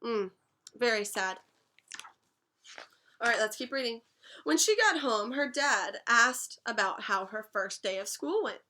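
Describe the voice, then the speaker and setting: A young woman teaching a class, reading a picture book aloud and pausing to explain words and ask questions. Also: a little high, animated, bright, forceful tone. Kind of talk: read-aloud storytime lesson.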